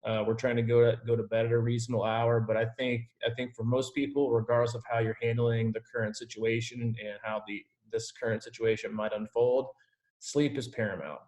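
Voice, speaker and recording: A man's voice: mid-range voice, flat delivery, brisk, a little mellow, low-quality recording. A man working as a triathlon coach, informally and reassuringly answering team questions on a video call.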